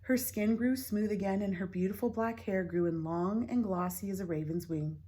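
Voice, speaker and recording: A woman teacher reading a picture book aloud to children, expressive, pausing for soft whispered asides. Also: mid-range voice, husky.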